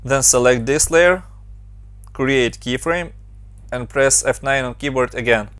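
A young man from Ukraine narrates a tutorial in his Ukrainian accent, moving briskly from one instruction to the next. His mid-pitched voice is recorded dry and close, with no room sound. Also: a bit bright, dry recording. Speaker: motion design tutorial host.

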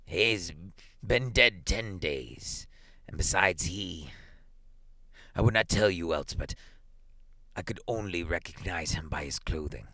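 A man voicing a fearful, grieving old husband with an unsteady, halting delivery in a storybook reading. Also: extremely low pitch, big pitch swings, gravelly, breathy edge, no room sound, voice pushed down, full character voice. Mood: shaken, halting. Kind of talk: dramatic storybook character reading.

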